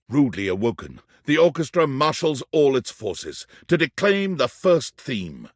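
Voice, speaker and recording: A man's voice: medium pitch, dry recording, clean studio sound. A man playing an erudite, theatrical narrator in a whimsical game, delivering florid scripted lines with precise wording.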